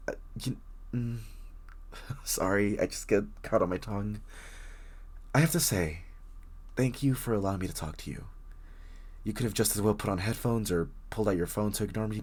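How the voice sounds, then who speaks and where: A man playing a very shy, friendly young writer in an intimate coffee shop roleplay, speaking hesitantly with nervous stammers and a husky voice. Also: fairly low pitch, a little mellow. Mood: bashful, grateful. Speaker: audio roleplay voice actor. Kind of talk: intimate one-sided roleplay acting, casual chat.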